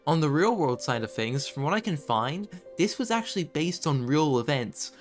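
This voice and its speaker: A man reading scripted commentary in a video essay, with measured storytelling broken by conversational asides. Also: on the high side, big pitch swings. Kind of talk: scripted narration, horror series commentary. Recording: background music under voice. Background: background music.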